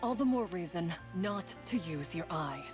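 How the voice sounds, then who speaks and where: A woman's voice: lowish voice, animated, normal speed, over music, natural voice. A woman playing a serious, weary young female soldier, earnest and plain-spoken, in an English game dub. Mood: stern.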